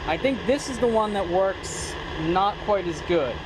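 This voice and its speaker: A man giving a casual, off-the-cuff demonstration as a YouTuber, talking haltingly in short phrases. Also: high voice, expressive, very noisy. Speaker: YouTuber demonstrating a vintage CB radio.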